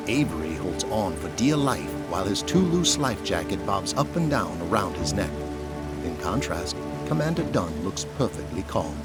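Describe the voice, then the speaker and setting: A man reading scripted, dramatic narration for an audio drama. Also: sits low. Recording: loud music behind the voice.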